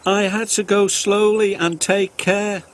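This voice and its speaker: A man voicing a gentle, patient old male steam engine in a storybook, soft and careful. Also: high voice, level tone, unhurried, voice pushed up. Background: background music.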